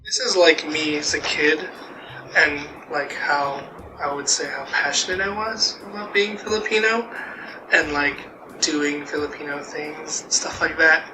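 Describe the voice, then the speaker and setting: A man's voice: a little high, steady tone, a little slow, over music. A young man speaking thoughtfully and hesitantly, with many fillers, in a personal interview. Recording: echoey, noisy room.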